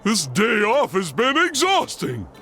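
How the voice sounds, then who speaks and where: Dopey, dim cartoon starfish. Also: male voice, high voice, wildly swooping pitch, bright, forceful tone, heavily acted. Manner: dopey, slow-witted. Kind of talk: cartoon video game acting.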